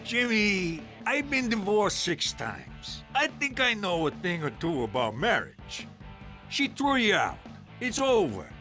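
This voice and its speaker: A man's voice: high voice, big pitch swings, slow pace, bright, forceful tone, faint music behind, exaggerated voice. A man voicing a crude, brash uncle in a cartoon, pushy and streetwise. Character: crude, brash uncle, six times divorced.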